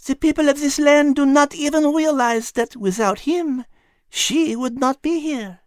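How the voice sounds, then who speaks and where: A man voicing a refined, gentle female French cat with a French accent, in a storybook narration. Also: extremely high pitch, measured pace, no room sound, clean studio sound, voice pushed up. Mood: wistful. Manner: genteel, soft-spoken, sprinkles French words.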